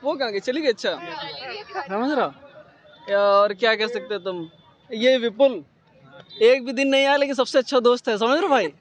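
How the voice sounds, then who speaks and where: A man's voice: very high voice, normal speed, long pauses, some chatter behind. A young male student speaking outdoors in a casual, joking way, often checking that the listener follows. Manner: jokey, casual, keeps asking "you get it?".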